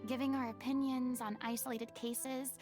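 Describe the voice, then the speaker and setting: An actress playing a proud, expressive young woman in a video game, with an earnest, theatrical way of talking. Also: high-pitched, moderate pace, natural voice. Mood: reflective. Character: expressive, proud young woman, former deity turned ordinary. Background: music.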